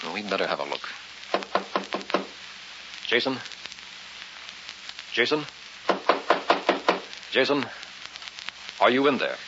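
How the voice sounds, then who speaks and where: A skeptical investigator in an old radio thriller. His voice is very low but swings expressively, and he sounds uneasy about the occult. The recording is muffled and noisy. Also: very animated, slow, pauses often. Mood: cautious, suspicious. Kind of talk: vintage radio drama acting.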